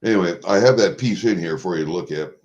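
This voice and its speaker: A man in his sixties from Iowa with a Midwestern American accent, chatting to viewers in a rambling, halting, blunt and salty way. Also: deep voice, a little mellow. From Iowa, USA. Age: 60s. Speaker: vlogger. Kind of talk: rambling casual chat to viewers. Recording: dull lo-fi home recording.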